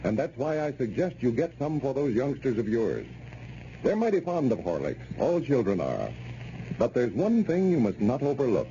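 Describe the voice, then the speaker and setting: A man's voice: medium pitch, big pitch swings, moderate pace, warm tone. An American man announcing on old-time radio, reading a script in a folksy, persuasive way. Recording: muffled, noisy old radio recording.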